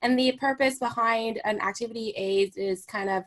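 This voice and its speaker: A woman's voice: a little high, normal speed, a bit bright. A young woman from Hong Kong, a recreation therapist and guest on a radio interview, talking casually with many fillers and "right?" tags.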